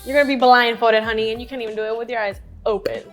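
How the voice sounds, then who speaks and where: A young woman from Colombia, raised in Miami, teases her friend with skeptical, laughing remarks. Her voice sits a bit high. Faint music plays underneath. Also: fairly even intonation, racing. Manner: teasing, laughs often, skeptical. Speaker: co-host and makeup guest. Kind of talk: casual teasing vlog chat.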